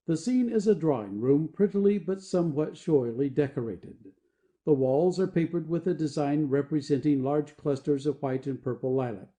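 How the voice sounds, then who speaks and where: Guy reading stage directions for a play, super mellow tone, slightly higher voice, measured. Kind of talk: scripted narration of a play.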